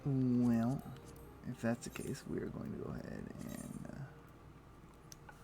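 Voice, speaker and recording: A man's voice: mid-range voice, little pitch movement, slow pace. A man giving casual game stream commentary, thinking aloud as he plays. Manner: thinking aloud while playing. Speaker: livestreamer. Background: music.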